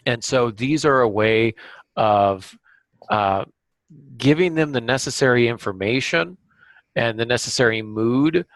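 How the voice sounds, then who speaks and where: A man's voice: medium pitch, a little slow, no echo. A man hosting a podcast, summarizing and explaining in a conversational interview style. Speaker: podcast host.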